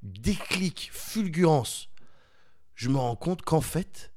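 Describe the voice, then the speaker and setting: A man, telling a story in a casual chat. He laughs often and jumps into high voices for quoted kids. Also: expressive, slow, darkish tone. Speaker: podcast co-host.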